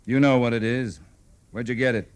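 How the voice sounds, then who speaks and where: An actor playing a stern, determined US cavalry captain in an old time radio drama, speaking in a clipped, grave, commanding way. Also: male voice, mid-range voice, steady tone, normal speed, clean tone, natural voice. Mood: firm, commanding. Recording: vintage radio drama recording.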